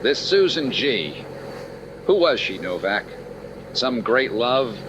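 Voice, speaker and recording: An actor playing a wry, polite and businesslike insurance investigator in an old-time radio detective drama. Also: male voice, medium pitch, very animated, slow, background noise. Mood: curious, teasing. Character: upbeat, courteous, wry male insurance investigator.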